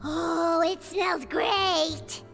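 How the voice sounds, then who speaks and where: A woman's voice: fairly even intonation, very slow, gravelly, a bit bright, faint music behind, full character voice. A woman voicing a tiny, bubbly floating fairy companion who talks about herself by name, in a game. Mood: eager. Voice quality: squeaky, childlike. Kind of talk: game voice acting.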